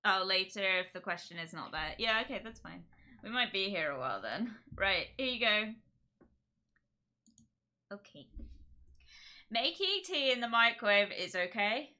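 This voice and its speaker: Woman streamer, rambling and giggly, bright tone. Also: medium pitch, lively intonation, normal speed, long pauses. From Czech. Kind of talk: casual live-stream chat.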